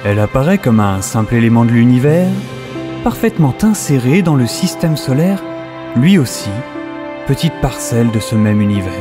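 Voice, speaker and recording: A man voicing a grave, measured documentary narrator of a science-fiction radio saga, speaking slowly and deliberately. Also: medium pitch, very animated, music in the background, pitched below the performer's own voice, natural voice. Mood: solemn. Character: solemn, slow documentary voice-over narrator of a sci-fi saga. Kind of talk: scripted narration.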